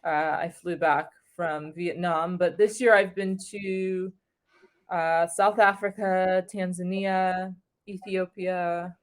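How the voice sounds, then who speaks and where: A woman's voice: on the low side, steady tone, takes it slowly. A woman from New York City, chatting as an online English tutor in a friendly, easygoing way over a video call. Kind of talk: casual conversation.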